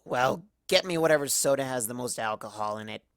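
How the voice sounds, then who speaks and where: Low, halting, bitter young pony mare from a fan story, tipsy and sarcastic female reader. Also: lively intonation, dry recording, clean studio sound. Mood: drunken, defensive.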